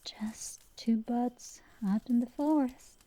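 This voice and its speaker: Slow, soft-spoken tomboy dragon girl played by a woman. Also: a little high. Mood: soothing, affectionate. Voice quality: soft, breathy whisper at times. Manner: slow, intimate, teasing, affectionate. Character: tomboy dragon girl sorceress, brash tsundere, secretly tender. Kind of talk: whispered ASMR roleplay. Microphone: close mic.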